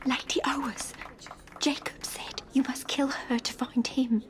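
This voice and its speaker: High-voiced, frightened young Englishwoman. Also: flat delivery, unhurried, in a whisper. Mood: frightened, pleading. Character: Nelly, frightened, pleading young working woman in Victorian London. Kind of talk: video game cutscene acting.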